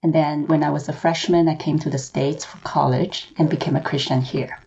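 A woman from Hong Kong speaks in a low, mellow voice with a gravelly edge. She answers interview questions reflectively, at an even, thoughtful pace. The remote recording sounds dull and lo-fi. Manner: measured, reflective storytelling. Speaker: author and seminary professor.

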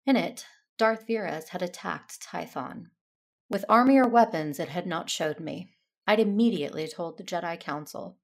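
A woman playing a dutiful, self-stern Jedi in a sci-fi audio drama, narrating in a measured, earnest way. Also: fairly low pitch. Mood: grave, introspective.

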